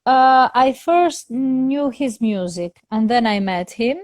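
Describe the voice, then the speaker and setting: A woman's voice: fairly high pitch, takes it slowly, no room sound, studio quality. A woman, a soprano opera singer, speaking English as a second language in a casual interview, hesitant, with many fillers and restarts. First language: not English.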